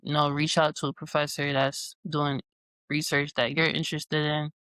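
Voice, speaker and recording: A man in his twenties, from Jamaica and New York, answering in a relaxed, easygoing way as an interview guest. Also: a little high, normal speed, clear, resonant tone, no room sound. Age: 20s. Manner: relaxed, easygoing, with casual fillers. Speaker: guest scientist.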